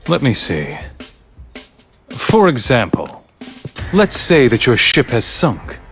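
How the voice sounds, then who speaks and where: An actor playing a calm, persuasive leader of a grand scheme in a video game, speaking in formal, measured, eloquent phrases. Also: male voice, on the low side. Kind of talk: dubbed video game cutscene acting.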